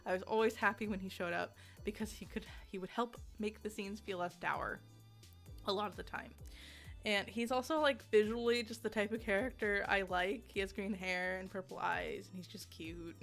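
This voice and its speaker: Bright sounding girl doing a chatty game review, giggly and kinda self-deprecating, bit of music behind her. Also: mid-range voice, steady tone, normal speed, vocal fry. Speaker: video game reviewer and host. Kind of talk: casual video essay review chat.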